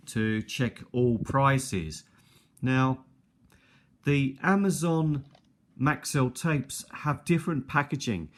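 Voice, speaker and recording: A middle-aged man giving an unscripted, casual show-and-tell in a hesitant, rambling, conversational way. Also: mid-range voice. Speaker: video host. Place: indoors at a kitchen table. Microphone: handheld or nearby tablet's built-in mic.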